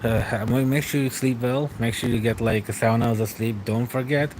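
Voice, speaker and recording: A young man streaming late at night from home, answering live chat in a relaxed, rambling way, often laughing and trailing off. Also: mid-range voice, normal speed, a little hiss or hum, poor audio.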